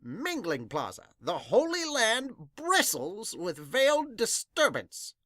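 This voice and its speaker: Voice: mid-range voice, wildly swooping pitch, takes it slowly, pushed and bright, no echo, studio quality. A podcast host reading a manga chapter aloud, announcing captions dramatically and adding casual reactions.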